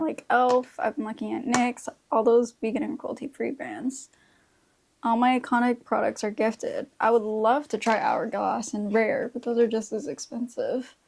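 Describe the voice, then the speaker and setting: Creaky-voiced young woman, casual and chatty. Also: a little high, darkish tone. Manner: informal, slangy speech with frequent "like". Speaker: beauty vlogger. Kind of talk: casual chatty makeup tutorial.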